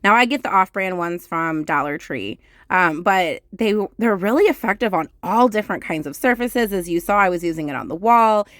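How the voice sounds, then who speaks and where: A woman with a hoarse, crackly, groggy voice, chatting casually and rambling a bit as she narrates her home vlog. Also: mid-range voice, lively intonation, normal speed, fairly bright tone, no echo, studio quality. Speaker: home vlogger. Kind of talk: casual voice-over chat.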